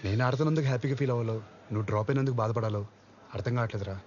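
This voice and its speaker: An actor playing a humble young newcomer archer in a film drama, polite and deferential. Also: male voice, mid-range voice, very fast, a little hiss or hum, natural voice. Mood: torn, subdued. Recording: dull film audio under loud music.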